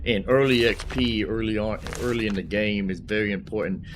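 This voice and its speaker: A man's voice: lowish voice, brisk. A man hosting a gaming video, giving casual, informal commentary and explaining as he plays. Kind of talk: casual let's play commentary. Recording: game music underneath.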